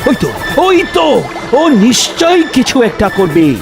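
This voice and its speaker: A man's voice: extremely high pitch, big pitch swings, moderate pace, heavily acted. A man voicing a generous, emotional master and father in a cartoon melodrama, repeating his words emphatically. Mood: panicked, wailing. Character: anguished, generous master and doting father, animated wealthy man. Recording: prominent music behind the voice.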